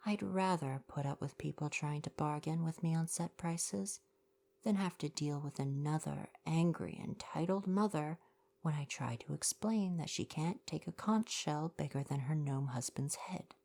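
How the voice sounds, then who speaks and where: Low, creaky-voiced kind merfolk shopkeeper, played by a woman. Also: moderate pace, dark tone. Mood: wry, exasperated. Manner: polite, chatty, helpful customer-service phrasing. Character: kind young merfolk gift-shop keeper, gently sardonic. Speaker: ASMR roleplay performer. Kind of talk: ASMR roleplay, soft-spoken.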